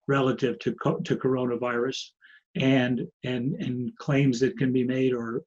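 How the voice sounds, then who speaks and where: A man hosting an interview, asking long halting questions full of fillers and restarts. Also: medium pitch, darkish tone. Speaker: interviewer host. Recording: husky, dull lo-fi audio.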